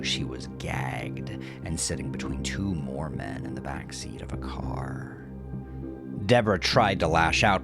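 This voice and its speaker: A man narrating horror fiction in a gravelly voice, deliberate and dramatic, often dropping to a hush. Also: low-pitched. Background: music.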